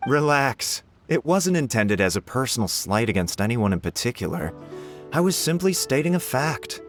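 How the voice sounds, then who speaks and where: A man's voice: mid-range voice, very animated, normal speed, lightly acted. A man playing an arrogant, condescending scholar-official in a video game, speaking in formal, pompous phrases. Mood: dismissive. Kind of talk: video game character acting. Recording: game music playing faintly behind.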